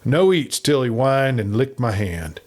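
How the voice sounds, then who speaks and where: A man voicing a cruel, excitable cowboy outlaw. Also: measured pace. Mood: excited, cruel. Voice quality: squeaky tenor.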